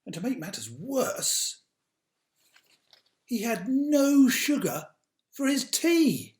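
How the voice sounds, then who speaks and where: A man reading a picture book aloud to children, with dramatic drawn-out emphasis and hushed asides. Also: very high voice, big pitch swings. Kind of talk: animated children's storytime narration. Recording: roomy, echoey sound.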